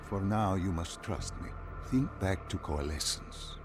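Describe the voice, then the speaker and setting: A mysterious doctor speaks as a guide between life and death in a dark video game. His voice is low, solemn and commanding. Music runs behind it. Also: expressive, measured pace. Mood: solemn, guiding. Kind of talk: cinematic video game acting.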